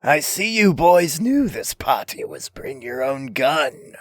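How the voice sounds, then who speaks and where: A man's voice: a little high, big pitch swings, slow pace, no room sound, studio quality, exaggerated voice. A man playing a theatrical, mocking clownish villain in a comic dub, taunting like a showman. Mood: gleeful menace.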